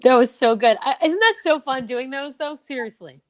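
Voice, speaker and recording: A woman's voice: high voice, moderate pace. A woman hosting a casual chat, effusive and teasing in how she talks. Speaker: show host.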